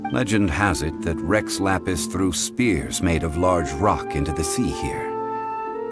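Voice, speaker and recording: An actor playing a calm, formal ancient scholar-god who lectures in a measured way, in a game cutscene. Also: male voice, sits low. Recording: game audio with music.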